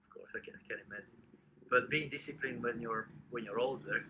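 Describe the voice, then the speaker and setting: A man's voice: mid-range voice, brisk, bright, forceful tone, slight background noise, poor audio. A man hosting a podcast, asking long, meandering questions full of repeats and "you know". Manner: rambling questions, repeated words. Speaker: podcast host. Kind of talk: interview questions.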